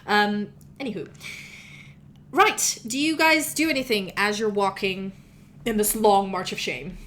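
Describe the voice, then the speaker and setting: A woman whose first language is Russian runs a tabletop game session, narrating in a rambling, self-correcting way and laughing at her own slips. Her voice sits at a middle pitch. A faint hiss of background noise runs under her speech. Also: a little slow, fairly bright tone. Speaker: game master.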